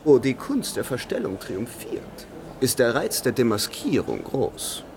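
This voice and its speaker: A man's voice: medium pitch, very animated, measured pace, vocal fry, darkish tone, a little hiss or hum. An actor playing a vain male theater director who speaks in a theatrical, declamatory way, in a radio drama.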